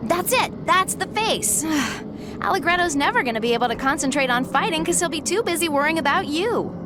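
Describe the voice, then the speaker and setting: A woman's voice: high voice, lively intonation, brisk, noisy background. A woman playing a confident, teasing, no-nonsense young woman in a video game, frank and casual in manner. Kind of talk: animated video game voice acting.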